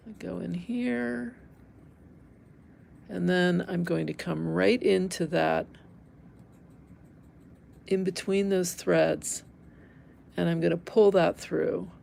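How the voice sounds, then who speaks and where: A woman's voice: on the low side, creaky, darkish tone. A middle-aged American woman teaching embroidery in an informal live demonstration, candid and conversational, thinking aloud as she works and chats with viewers. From Northern California, United States. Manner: candid, conversational, thinking aloud while working with her hands. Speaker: embroidery teacher, livestream host.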